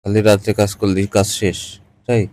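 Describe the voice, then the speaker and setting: A man's voice: lowish voice, little pitch movement, moderate pace, a little mellow, a little hiss or hum. A man in a casual, cajoling, colloquial phone chat. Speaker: caller in a staged phone conversation.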